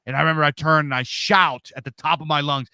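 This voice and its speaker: Loud guy, 40s, big voice, dry close sound, just chatting as a guest. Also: on the high side, fairly even intonation, fast talker, clean tone, no echo. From Pennsylvania, USA. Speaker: interview guest. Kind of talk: casual storytelling.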